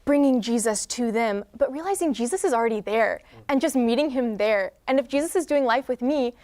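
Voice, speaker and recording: A woman's voice: fairly high pitch, animated, mellow. A young woman speaking earnestly and reflectively as a guest in a faith interview. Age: young adult. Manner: earnest, measured, devotional. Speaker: guest, student leader.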